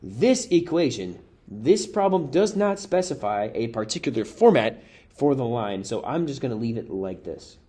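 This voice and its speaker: Guy doing a math review, chatty and loose, talks to the viewers like friends, voice getting kinda hoarse. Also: mid-range voice, very animated, moderate pace, mellow. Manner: casual, chatty, addresses viewers as guys. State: losing his voice. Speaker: math teacher. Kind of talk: worked-example exam review.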